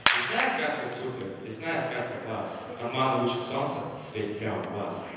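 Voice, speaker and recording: A man's voice: medium pitch, expressive, moderate pace. A young man giving a rehearsed self-introduction as a contestant on a theatre stage. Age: teens to young adult. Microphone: handheld microphone through hall speakers. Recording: very echoey hall, distant, clearly noisy.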